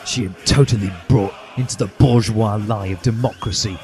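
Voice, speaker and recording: A man's voice: lowish voice, normal speed, a little mellow. A man reading a parody story in a mock-earnest, emphatic way, occasionally tripping over lines. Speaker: podcast reader of parody fan fiction.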